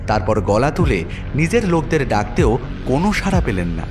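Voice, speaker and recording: A man playing a detective's watchful companion who narrates an audio mystery with expressive suspense. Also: mid-range voice, normal speed, over music, lightly acted. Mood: tense, wondering. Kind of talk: scripted dramatic story narration.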